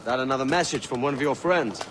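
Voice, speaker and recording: A man's voice: mid-range voice, fast talker, background noise, lightly acted. A man playing a cocky, roguish young pirate captain in a video game cutscene. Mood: sardonic. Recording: loud music behind dialogue.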